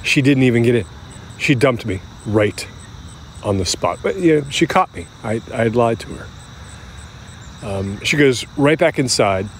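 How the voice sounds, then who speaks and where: An actor playing a middle-aged American man who recalls his youth candidly, in a halting, conversational, self-deprecating way, as if interviewed for an audio drama. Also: mid-range voice, expressive, a little hiss or hum, close to an everyday voice. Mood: candid, rueful. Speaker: interview subject. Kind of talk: naturalistic audio drama acting as interview reminiscence.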